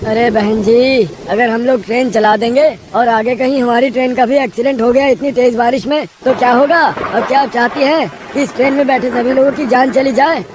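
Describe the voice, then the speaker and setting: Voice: mid-range voice, steady tone, a little fast, hardly any pauses, background noise, natural voice. An actor voicing a firm male railway police officer in a cartoon story. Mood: stern, warning.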